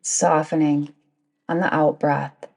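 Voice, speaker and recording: A woman guiding a yoga nidra meditation in a soothing, deliberate manner, with long pauses between phrases. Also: low-pitched, level tone, a little mellow, rough recording. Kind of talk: guided meditation narration.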